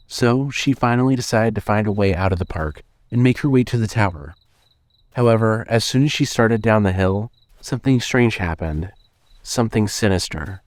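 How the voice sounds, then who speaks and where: A man narrates a scripted horror story in a fairly low voice. His delivery is measured, like a storyteller's, and the recording is very dry with no room sound. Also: a little mellow, no echo.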